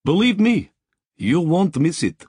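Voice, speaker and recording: A man voicing a cheerful female site worker with a Russian accent, bright and teasing. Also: on the high side, very animated, a little slow, slightly rough, dry recording, studio quality, voice pushed up, full character voice.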